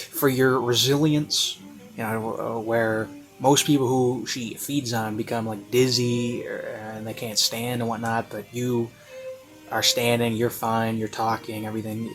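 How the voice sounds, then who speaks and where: Male online reviewer, mid-range voice, off-the-cuff and meandering with many ums and likes, over soft classical music. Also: medium pitch. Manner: rambling, hesitant, filler-heavy. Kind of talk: casual unscripted review commentary.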